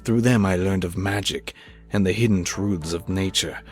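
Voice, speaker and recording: A man's voice: low voice, normal speed, darkish tone, soft background music, lightly acted. A man playing an obsessed, arrogant scientist in a grandiose, theatrical amateur audio drama. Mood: grandiose, exultant. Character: obsessed, arrogant scientist who creates a monster.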